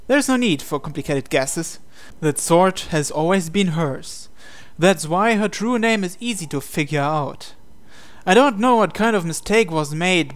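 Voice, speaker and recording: Male gaming host, visual novel read-aloud, slightly high pitch, crisp smooth tone.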